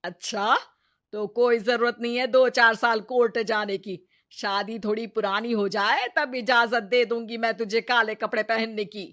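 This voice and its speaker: A woman voicing a sharp-tongued, nagging mother-in-law in a Hindi cartoon story, theatrical and taunting. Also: a little high, steady tone, a little slow. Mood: sarcastic scolding.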